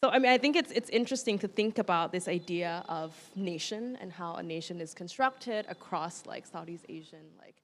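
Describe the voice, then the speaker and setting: A woman's voice: lowish voice, fairly quick, few pauses, a little hiss or hum. A young woman with a Thai accent, a curator speaking on an art panel, thoughtful, with light laughs between points. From Thailand. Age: young adult. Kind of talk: panel discussion.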